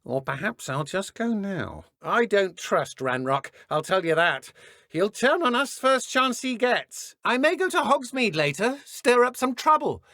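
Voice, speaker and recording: Sneering British dark witch, wildly swooping delivery. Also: medium pitch, big pitch swings, unhurried, dry recording, studio quality. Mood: weary grumbling. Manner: taunting, theatrical, condescending. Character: cruel, sneering dark witch poacher, smug and mocking. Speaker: video game voice actor. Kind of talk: villain combat and idle barks for a fantasy game.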